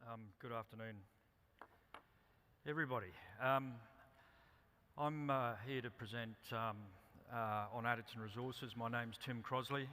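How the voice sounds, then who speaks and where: An Australian man giving a company presentation at a conference, speaking haltingly with many ums and restarts. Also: medium pitch, steady tone, bright, forceful tone, slight background noise. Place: conference hall. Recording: reverberant hall.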